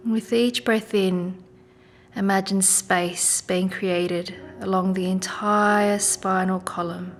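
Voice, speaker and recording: A woman leading a guided meditation in a soft, gentle, soothing manner with unhurried pauses. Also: mid-range voice, fairly even intonation, slight background noise. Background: music.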